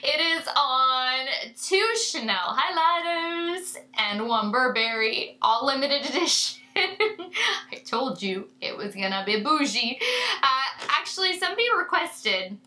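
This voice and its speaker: Bright, high-pitched nasal woman vlogger. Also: expressive, slow pace, echoey room. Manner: chatty, effusive, gushing. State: nasal from a sinus infection. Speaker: beauty vlogger. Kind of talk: casual to-camera review. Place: home room lined with makeup shelves.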